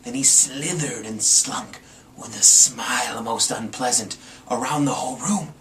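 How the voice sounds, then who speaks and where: A man's voice: mid-range voice, unhurried, fairly bright tone. A man giving a playful, theatrical dramatic reading of a rhyming Christmas story as the storyteller. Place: room with a green screen. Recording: distant mic, some background noise.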